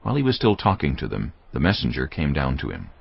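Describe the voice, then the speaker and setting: A man giving solemn, measured scripted narration for a dramatised audio Bible. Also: a bass voice, wildly swooping pitch, gravelly, slightly rough. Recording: dull, muffled audio over music.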